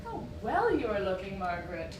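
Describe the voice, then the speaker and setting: A woman's voice: medium pitch, wildly swooping pitch, moderate pace. A woman playing a haughty, poised society wife who keeps up appearances, in an affected, genteel theatrical style. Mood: gushing, polite. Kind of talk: theatrical stage acting. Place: indoor theatre stage, picked up from afar. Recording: roomy, distant stage recording with noise.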